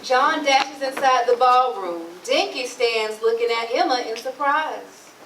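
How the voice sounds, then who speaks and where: A woman's voice: a little high, measured pace, a bit bright, a little hiss or hum. A woman narrator reading stage directions for a theatre play reading. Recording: echoey, distant mic, music behind.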